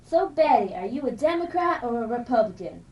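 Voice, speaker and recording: High-pitched young woman, radio co-host. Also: sing-song, fairly quick, highs cut off, slight background noise. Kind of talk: mock 1920s radio banter.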